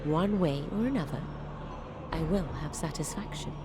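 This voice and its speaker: A woman's voice: sits low, normal speed, very noisy, close to an everyday voice. A woman playing a haughty, predatory female fiend in a video game, speaking with imperious, theatrical disdain. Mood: predatory relish. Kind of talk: scripted video game voice acting.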